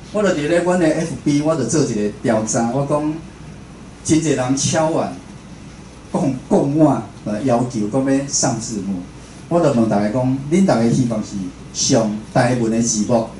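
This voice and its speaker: A man's voice: mid-range voice, dark tone. A man with a Taiwanese accent gives an informal talk as a radio host, conversational and often putting questions to his listeners. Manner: conversational, puts questions to the audience. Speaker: speaker, radio station director and show host. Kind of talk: informal public lecture. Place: indoor talk venue. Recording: roomy echo, faint background noise.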